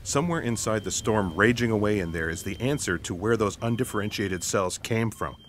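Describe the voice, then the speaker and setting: A man's voice: on the low side, brisk, slight background noise, lightly acted. A man playing an earnest, stubborn investigator who explains things in wordy, formal logic in a sci-fi comedy audio drama.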